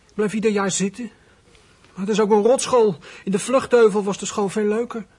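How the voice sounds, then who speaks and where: A performer playing a small troubled runaway boy in a radio drama, husky and hesitant, speaking in plain colloquial phrases. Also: medium pitch, steady tone, brisk, a little mellow, lightly acted. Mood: sulky chatty. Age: child character. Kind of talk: radio drama acting with whispered inner monologue.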